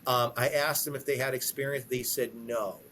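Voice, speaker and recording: A man with a mid-pitched voice gives conversational advice as an interview guest. He is emphatic, often doubles back on his phrases and slips into quoted examples. There is clear background noise with a low rumble. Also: fairly even intonation, normal speed, a bit bright. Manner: emphatic, repeats himself, poses sample questions and answers in quotes. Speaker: employment lawyer, podcast guest.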